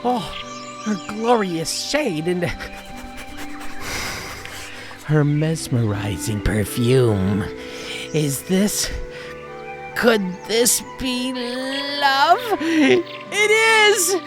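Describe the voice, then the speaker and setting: A woman's voice: medium pitch, very animated, drawn-out words, over music. A woman playing a lovestruck, dreamy shapeshifting alien tourist in an audio drama, with melodramatic, swooning delivery. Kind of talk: scripted audio drama acting.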